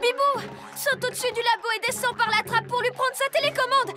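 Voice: very high-pitched, steady tone, a little fast. An actor voicing an eager, earnest young boy superhero in a cartoon. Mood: urgent. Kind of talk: French cartoon dub acting. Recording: music behind.

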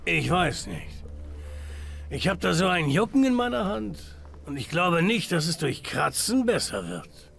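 Bitter brawler, fairly high male voice, gravelly, gruff, aggressive, game drama, music behind. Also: big pitch swings, a little slow, fairly bright tone, exaggerated voice. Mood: contemptuous. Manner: gruff, aggressive, foul-mouthed. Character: bitter, hot-tempered male brawler, betrayed and weary. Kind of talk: dramatic video game acting.

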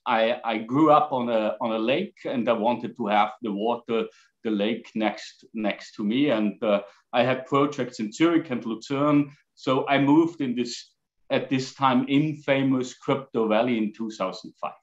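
A man in his forties from Austria, speaking English with an Austrian accent as a guest on a video call, halting with fillers. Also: mid-range voice. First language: German. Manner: gestures while talking, halting with fillers. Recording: slightly dull video call audio.

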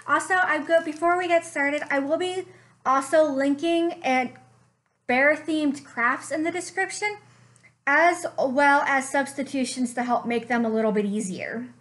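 A young woman reading a picture book aloud for children, slow and expressive with playful sound effects, recorded on a webcam in a small room at home. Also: sits high. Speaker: children's librarian. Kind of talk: storytime read-aloud.

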